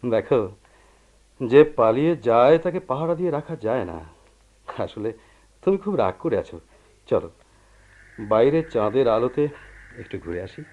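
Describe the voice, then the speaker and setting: An actor playing a pragmatic, placating husband in a Bengali radio drama, reasoning in a measured, soothing way. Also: male voice, mid-range voice, expressive, close to an everyday voice. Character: pragmatic, placating married man counselling realism to his wife. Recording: dull lo-fi radio recording, clear noise.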